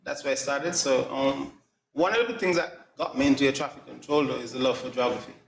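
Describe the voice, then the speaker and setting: Middle-aged male guest, mid-pitched, explaining in measured phrases in an echoey room. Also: gravelly. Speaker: air traffic controller, interview guest. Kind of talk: explanatory interview talk. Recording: echoey room, light background noise.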